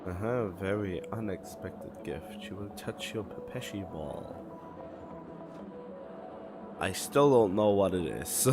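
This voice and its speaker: Guy streamer reacting to a game, lowish voice, blunt, game music behind. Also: expressive, takes it slowly, pauses often. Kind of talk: casual live gameplay commentary.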